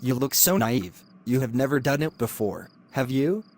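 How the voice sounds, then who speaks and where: A middle-aged man, acting a predatory lecturer who speaks low, quiet and threatening. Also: very animated, a little fast. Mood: leering. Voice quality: low, quiet and menacing delivery. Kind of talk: amateur drama acting.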